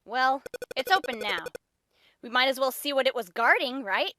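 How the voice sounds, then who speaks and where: Woman as a high, expressive schoolgirl adventurer. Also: normal speed. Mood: curious, unsure. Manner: questioning, expressive. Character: curious, hesitant young schoolgirl adventurer. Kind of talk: scripted fan dub acting. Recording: rumbling noisy home recording.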